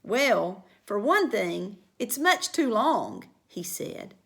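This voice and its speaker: A woman's voice: medium pitch, very animated, slow pace, creaky, a bit bright, heavily acted. A woman reading a storybook aloud, voicing a mild, dry-spoken grown-up dad.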